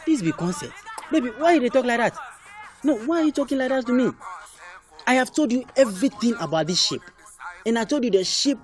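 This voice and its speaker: Desperate West African boyfriend, animated and theatrical. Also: male voice, extremely high pitch. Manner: theatrical, repetitive pleading. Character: desperate, bankrupt boyfriend, pleading and blustering. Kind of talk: comic melodrama acting.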